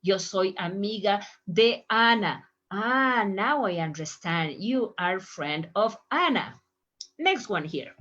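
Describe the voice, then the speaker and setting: Lady teacher, slow, repeats examples, bouncy pitch, kinda muffled recording. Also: animated, a bit bright. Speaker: Spanish teacher. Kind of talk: online language lesson. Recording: dull lo-fi audio.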